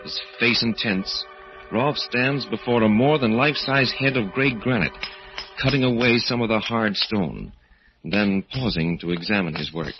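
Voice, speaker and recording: An actor playing an ominous radio horror announcer and narrator, grave and dramatic, in 1940s style. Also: male voice, lowish voice, normal speed, close to an everyday voice. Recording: muffled old radio recording, music behind.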